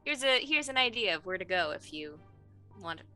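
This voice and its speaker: A woman casually describing her character's actions as a tabletop roleplaying player, thinking aloud in a halting, informal way. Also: on the low side, very animated, sharp tone, faint music behind.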